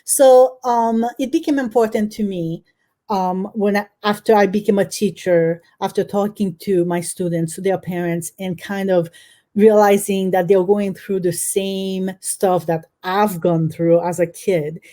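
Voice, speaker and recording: Mellow-voiced woman from Haiti. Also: mid-range voice, fairly even intonation, unhurried. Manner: earnest, thoughtful, frequent ums and restarts. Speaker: author guest. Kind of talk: reflective interview answers.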